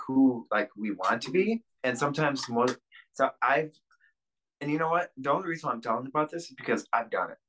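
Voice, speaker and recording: Male podcast guest, lowish voice with a bright, forward edge, loose rambling delivery. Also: poor audio. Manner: rambling, lots of fillers and restarts. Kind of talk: candid interview talk.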